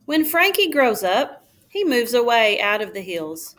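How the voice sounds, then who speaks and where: A woman reading a children's storybook aloud like a schoolteacher, in a careful, expressive storytelling manner. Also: on the high side, measured pace.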